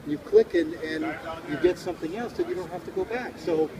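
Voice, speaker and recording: A man's voice: fairly high pitch, brisk, a crowd in the background, poor audio. A middle-aged man from Hawaii, a musician chatting casually and philosophically in a rambling way during an interview. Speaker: street musician being interviewed. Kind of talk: casual reflective chat. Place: outdoors by a beach park. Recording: loud outdoor background noise with vehicle sounds.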